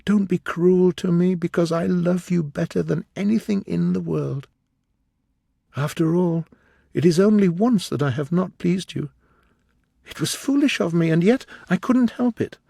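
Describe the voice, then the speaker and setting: Heartbroken young actress, voiced by a man, pleading high and breathy, in a dry close audiobook sound. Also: unhurried, dark tone, no echo, full character voice. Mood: desperate pleading. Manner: tearful, imploring.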